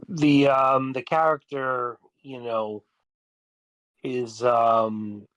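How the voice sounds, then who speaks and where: A man's voice: medium pitch, no echo, poor audio. A man chatting reflectively as a songwriter, hesitant and rambling, often repeating his words as he goes. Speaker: songwriter and musician. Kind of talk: casual reflective discussion.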